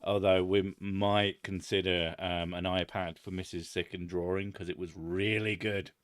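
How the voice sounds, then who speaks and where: A man hosting a casual chat, loud and booming, swearing freely as he answers viewer comments. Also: level tone, measured pace, no echo, studio quality. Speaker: channel host.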